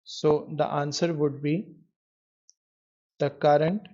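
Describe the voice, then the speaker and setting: A man tutoring in physics, explaining an exam question in a measured way with pauses as he writes. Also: fairly high pitch, flat delivery, warm tone. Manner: measured, pausing while writing out answers. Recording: dull lo-fi microphone sound.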